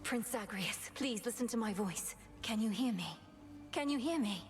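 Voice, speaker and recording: A woman's voice: fairly high pitch, expressive, moderate pace, faint music behind, close to an everyday voice. Woman acting a solemn young underworld witch princess. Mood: urgent, pleading. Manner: solemn, formal, incantatory. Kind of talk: video game voice acting.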